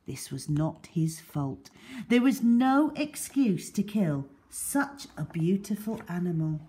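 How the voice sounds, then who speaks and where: Female storyteller for children's books: lowish pitch, unhurried, highly expressive.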